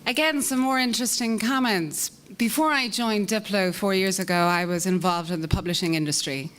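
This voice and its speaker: A woman's voice: mid-range voice, moderate pace, fairly bright tone, slight background noise. An Irish woman moderating a conference panel, introducing speakers in a friendly, self-deprecating way. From Ireland. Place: conference meeting room.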